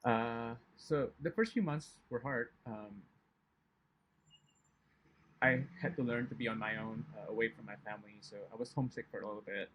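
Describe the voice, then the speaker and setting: A man's voice: mid-range voice, normal speed, a bit bright, slight background noise, rough recording. A man from Indonesia whose first language is not English, answering interview questions in a hesitant, conversational way with many fillers. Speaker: chemist guest.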